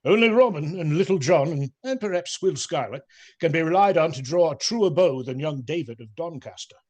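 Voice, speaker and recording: An actor as a pious, genial man of an outlaw band in a radio drama. His voice sits a little high and he makes wry, courtly apologies. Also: lively intonation, a little fast, slightly rough. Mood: reassuring. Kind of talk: theatrical radio play acting.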